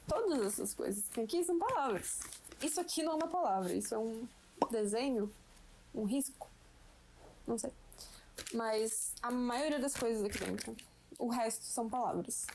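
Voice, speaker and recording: A young Brazilian woman giving a slow, patient, playful lesson at home to a friend. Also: a little high, a little mellow, a little hiss or hum, poor audio. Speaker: language teacher for a friend. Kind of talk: casual home-made lesson.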